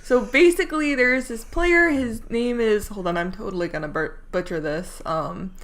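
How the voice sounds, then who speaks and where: A woman's voice: medium pitch, normal speed, a bit bright. A woman co-hosting a casual gaming podcast, hesitant and giggly, often stumbling and trailing off as she talks. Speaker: podcast co-host. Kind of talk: casual chat.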